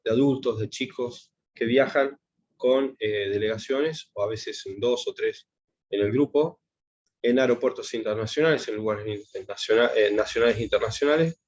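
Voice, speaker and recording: A man, talking at a middle pitch as he gives an online class. He hesitates often and restarts his sentences. The sound is dull and lo-fi, like video call audio. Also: normal speed. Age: 50s. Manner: hesitant, many fillers and restarts. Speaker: taekwondo instructor teaching English.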